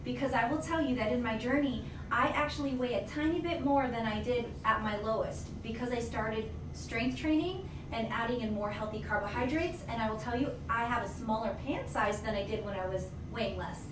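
A woman with a slightly high voice, talking in an emphatic, energetic teaching tone as a nutritionist guest. She is in her thirties and sounds distant from the mic. The small room echoes, with steady noise underneath. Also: fairly quick, few pauses. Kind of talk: radio show interview. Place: small wellness office, indoors.

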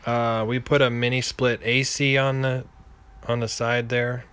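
A man in his thirties, a landlord giving a casual, halting, unscripted walkthrough voiceover. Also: medium pitch, level tone, fairly bright tone, smooth. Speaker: landlord and home renovator.

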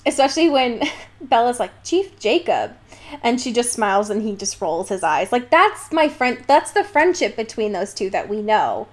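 High-voiced woman chatting on a podcast. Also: sing-song, normal speed. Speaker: podcast co-host. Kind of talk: casual book discussion chat.

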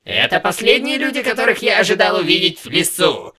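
A man's voice: sits high, big pitch swings, brisk, sharp tone, full character voice. A man voicing a mocking, gleeful triangle demon from a visual novel, taunting and theatrical. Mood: gleeful, sly.

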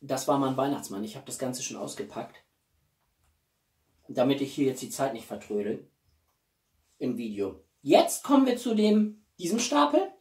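A man in his forties chatting casually as a video blogger, with halting speech and enthusiastic asides. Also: mid-range voice, sing-song, a little mellow. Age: 40s. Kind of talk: casual chatty vlog presenting gifts. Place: small living room, indoors. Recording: roomy echo, distant microphone.

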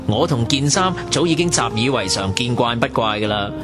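A man's voice: medium pitch, expressive, moderate pace, lightly acted. A man with a Hong Kong Cantonese accent playing a chatty young narrator who talks wryly about his friends in a radio drama. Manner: wry, colloquial storytelling. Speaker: narrator and character. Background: music.

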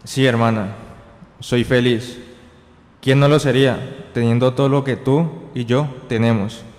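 A proud young brother in a radio play, acted by a man, impatient and dismissive. His mid-pitched voice reads a little stiffly in a reverberant space. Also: steady tone, a little slow, a little mellow, natural voice. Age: young adult. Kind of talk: amateur radio drama acting. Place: indoor green-screen studio. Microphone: handheld dynamic microphone held close. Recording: reverberant hall-like echo.

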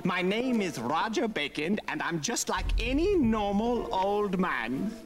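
A man's voice: sits high, sing-song. An actor playing an eccentric, very old male warlock in a video game, proud, amused and theatrical. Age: older. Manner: theatrical, musing, deliberate. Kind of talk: video game cutscene acting.

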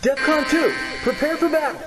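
Man as a very high urgent robot officer. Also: normal speed, distant mic, music in the background. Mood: urgent, commanding. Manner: urgent, barking. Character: urgent robot base officer barking battle orders. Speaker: voice actor in an amateur fan dub. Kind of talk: dramatic anime fan dub acting.

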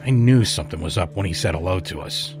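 A laid-back, dependable right-hand man in an audio drama, played by an actor. He speaks in a low voice, with clear music behind him. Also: expressive, quick pace. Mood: matter-of-fact.

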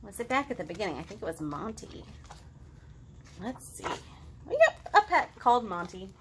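A woman in her thirties hosting a children's storytime, reading and chatting to young kids in an expressive, sing-song, exaggerated way. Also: mid-range voice, moderate pace, noisy background. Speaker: children's librarian, storytime host. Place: living room at home, indoors.

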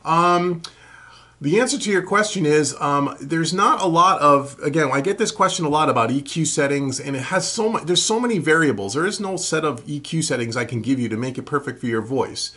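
Husky middle-aged man explaining casually. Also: a little high, a little fast, bright, forceful tone. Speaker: host of a home recording tutorial Q&A show. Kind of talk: casual explanatory talk to camera, answering viewer questions. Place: small furnished room at home, indoors.

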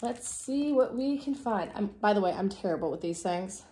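A woman in her thirties hosting a casual vlog, raspy and low on energy from a cold, chatting with many fillers in her living room. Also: lively intonation, moderate pace, dark tone. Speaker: vlog host. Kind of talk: casual chat to camera.

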